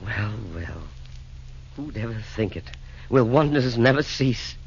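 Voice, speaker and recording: An actor playing a meek, repentant former tyrant emperor with hesitant, humble rhymes, in 1940s radio drama style. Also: male voice, medium pitch, lively intonation, measured pace. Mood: wondering, happy. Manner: hesitant, humble, rhyming theatrical delivery. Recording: dull 1940s radio broadcast recording.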